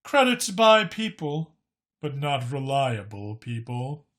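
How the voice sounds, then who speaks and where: A man's voice: mid-range voice, sing-song, takes it slowly, fairly bright tone. A man voicing a talkative alien dragon informant who explains things knowingly and with emphasis in an audiobook story. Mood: explanatory.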